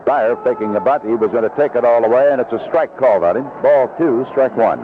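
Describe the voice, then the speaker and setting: A man's voice: mid-range voice, a little fast. A man calling baseball play-by-play on live radio in short, descriptive phrases. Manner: descriptive, short clipped calls. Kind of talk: live radio sports commentary. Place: broadcast position at an outdoor ballpark. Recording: narrow-band vintage radio broadcast, noisy.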